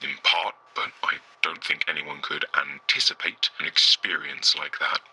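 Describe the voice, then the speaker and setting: An actor playing a bitter, worn-out former test subject giving a guarded, halting interview in an audio drama, husky and rough. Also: male voice, extremely low pitch, fairly even intonation, sharp tone, full character voice, low-quality recording. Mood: bitter, weary.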